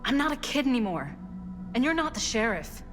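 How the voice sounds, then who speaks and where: A woman playing a tough, skeptical, sharp-tongued character in a video game, in a naturalistic, sardonic way. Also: fairly high pitch, sing-song, fairly quick, soft background music. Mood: confrontational, accusing. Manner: blunt, sardonic.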